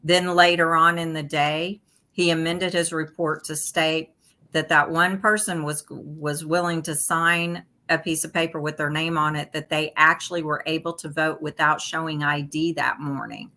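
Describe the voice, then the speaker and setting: A woman with a low-pitched, bright, forceful voice. She is stuffed up from allergies and explains rules in a steady, methodical way. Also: normal speed. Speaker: guest. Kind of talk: election talk show discussion.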